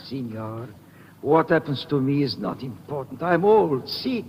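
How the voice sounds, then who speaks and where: A man's voice: medium pitch, sing-song, unhurried. An actor playing a sick, weary old man, plaintive and earnest, in 1950s radio drama style. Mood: resolute, frail. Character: sick, weary, self-sacrificing old man dreaming of America. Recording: muffled old radio recording, reverberant, noisy.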